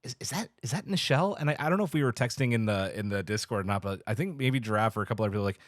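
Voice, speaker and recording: A man's voice: fairly low pitch, expressive, rapid-fire, no room sound, studio quality. A man chatting casually as a podcast panelist. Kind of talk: casual chat.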